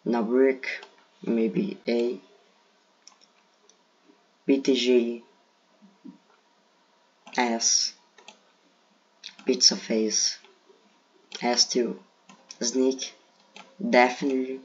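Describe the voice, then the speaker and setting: A man's voice: medium pitch, flat delivery, very slow, pauses often, darkish tone, husky. A man from Brazil with a Brazilian accent, speaking English as a second language, casually ranking game characters while thinking aloud. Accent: Brazilian Portuguese. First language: Portuguese. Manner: hesitant, thinking aloud with fillers. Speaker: gaming video creator. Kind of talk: casual tier list commentary. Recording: dull lo-fi mic.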